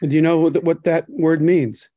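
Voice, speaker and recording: Halting older man on a dry video call. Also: on the high side, fairly even intonation, mellow, dry recording, muffled recording. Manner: rambling, halting, many fillers. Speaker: podcast co-host. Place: home kitchen. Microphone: webcam on a video call.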